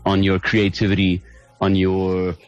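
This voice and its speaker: A man's voice: deep voice, monotone, a little slow, dry recording, muffled recording, faint music behind. A middle-aged South African man, a band's lead singer, chatting in an interview, thoughtful and hesitant with many restarts. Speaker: band lead singer, guest. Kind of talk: casual interview chat.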